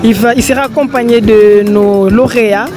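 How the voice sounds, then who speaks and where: A man hosting an online interview show, greeting his followers warmly and introducing guests. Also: sits high, steady tone, moderate pace, over music. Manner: friendly, promotional greeting to followers. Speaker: host.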